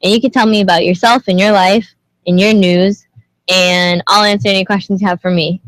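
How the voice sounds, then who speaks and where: Young woman, livestream host, chatty, question-heavy, mid pitch, dry sound. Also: steady tone, brisk, no echo, rough recording. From Indonesia. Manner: chatty, greets viewers by username, asks many questions. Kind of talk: casual livestream chat with viewers.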